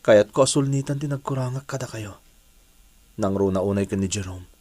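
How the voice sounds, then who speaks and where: A man with an Ilocano accent playing an earnest, repentant family man who pleads gently in a radio drama. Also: fairly low pitch, brisk, no room sound, lightly acted. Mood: pleading. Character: repentant, earnest adult man wooing his estranged family. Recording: dry studio sound, music behind.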